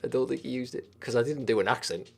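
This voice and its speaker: Husky Teesside man rambling on a stream. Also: mid-range voice, fast talker. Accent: Teesside English. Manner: rambling and sweary, with frequent restarts. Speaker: live stream host. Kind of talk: casual live-stream chat, reading viewer comments.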